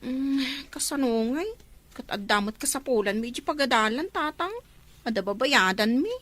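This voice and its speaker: A young woman acts out a reluctant student daughter in a homemade family drama. Her Ilocano-accented voice is very high, bright and animated, with a complaining, pleading manner. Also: moderate pace. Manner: plaintive, complaining. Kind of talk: amateur family drama acting.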